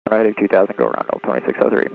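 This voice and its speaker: A man's voice: lowish voice, animated, racing, gravelly. A man speaking as an airline pilot, giving plain replies over a two-way radio. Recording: muffled, slightly noisy aviation radio.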